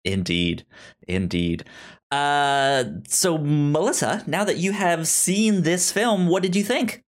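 Podcast co-host with lively pitch and stammering delivery. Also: slow pace. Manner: hesitant, stammering, repeats words. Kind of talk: casual film discussion.

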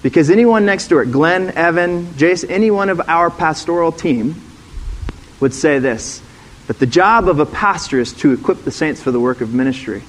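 A middle-aged man preaches in a relaxed, conversational way and often turns to the congregation with questions. His voice sits a little on the high side for a man. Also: moderate pace, low-quality recording. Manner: conversational teaching, asks the room questions. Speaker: former pastor, guest speaker. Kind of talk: sermon.

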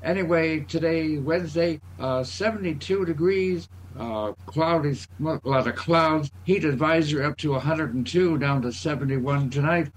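Guy checking in on a call, bit high voice, halting lists, slight hiss. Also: rough recording. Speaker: club call-in participant. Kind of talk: casual weather and check-in report. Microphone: remote call connection. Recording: faint noise on group call.

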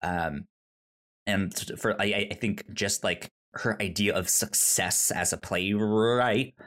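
A podcast co-host casually discussing films in a halting way, often repeating words while thinking aloud. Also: low voice, fairly even intonation, a bit bright.

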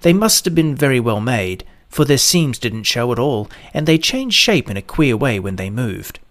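A man's voice: mid-range voice, very animated, moderate pace, fairly bright tone, no echo. A man reading a scripted children's story as its narrator.

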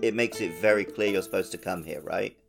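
A man's voice: low-pitched, brisk, creaky. A man co-hosting a gaming podcast in casual conversation. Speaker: podcast co-host. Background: prominent plucked-guitar music.

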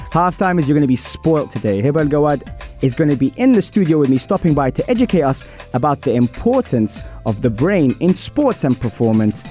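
A man hosting a radio sports show, his voice hoarse, with an upbeat, hyped-up presenting manner. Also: on the high side, darkish tone.

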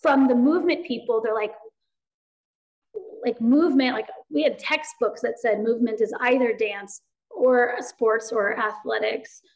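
Outspoken woman with a high voice. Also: poor audio. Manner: rapid, animated, lots of fillers; self-described loud voice, outspoken. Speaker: guest. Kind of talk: casual conversation.

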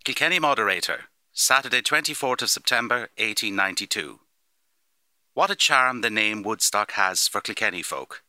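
A formal Victorian newspaper reporter reading his report for radio. The voice is extremely bright and forceful, slightly low, with a declamatory manner. The sound is close and dry. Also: lively intonation, moderate pace, no echo. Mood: admiring, formal.

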